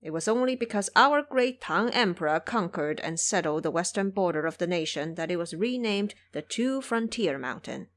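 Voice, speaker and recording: A woman's voice: fairly low pitch, animated, normal speed, few pauses, close to an everyday voice. A woman voicing a bold, reassuring male mountain guardian with an emphatic, explanatory manner in an audio drama. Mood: explaining, reassuring.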